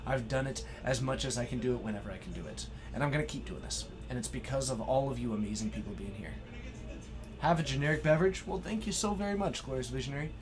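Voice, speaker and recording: An excitable man hosting a live stream, giving play-by-play card game commentary and effusively thanking his viewers. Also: mid-range voice, fast talker, music in the background. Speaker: stream host and match commentator.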